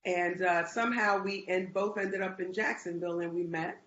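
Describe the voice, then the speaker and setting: A woman from the Bahamas answers as a realtor guest, measured and a bit hesitant. She sounds like she's in an echoey room over a dull, lo-fi call line with faint hiss behind her. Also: on the low side, steady tone, a bit bright. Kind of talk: interview answers.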